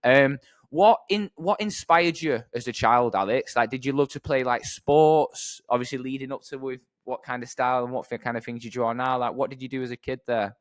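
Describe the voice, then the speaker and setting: A man interviews a guest in a casual, chatty style. His pitch is average and the sound is very dry. He is eager and informal, using plenty of slang. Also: fairly quick, no echo. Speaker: podcast co-host, interviewer. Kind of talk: casual chat.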